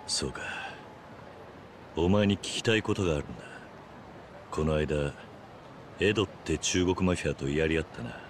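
A man voicing a stoic, gruff middle-aged ex-yakuza in a video game cutscene, speaking in terse, stern phrases. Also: a bass voice, normal speed, long pauses, slight background noise. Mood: stern, guarded.

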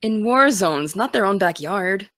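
A woman's voice: mid-range voice, expressive, unhurried, a bit bright, dry recording, studio quality. A woman playing a cautious, caring teenage podcaster in an audio drama, conversational and a little fretful. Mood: uneasy, wry. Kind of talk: naturalistic audio drama dialogue.

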